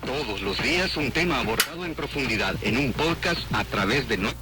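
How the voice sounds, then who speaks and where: A man's voice: mid-range voice, lively intonation, fast talker, loud music behind the voice. A man reading a scripted station promo as an announcer on a shortwave radio broadcast.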